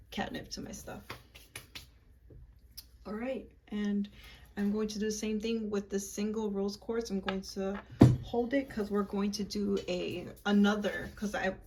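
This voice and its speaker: A young woman casually walking viewers through a love spell, chatty and halting, with frequent ums and likes. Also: medium pitch, a little hiss or hum. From United States, Puerto Rican and Peruvian family. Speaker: witchcraft vlogger.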